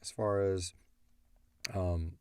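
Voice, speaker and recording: Low, mellow man chatting casually. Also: steady tone, takes it slowly, clear, resonant tone, dry recording, studio quality. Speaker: co-host and show creator answering listener questions. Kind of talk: casual chat about an audio drama.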